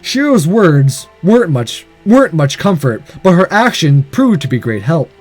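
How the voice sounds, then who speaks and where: Male let's player: high, lively voice, dramatic visual novel reading, casual commentary. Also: normal speed, faint music behind. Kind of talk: dramatic story narration with casual asides.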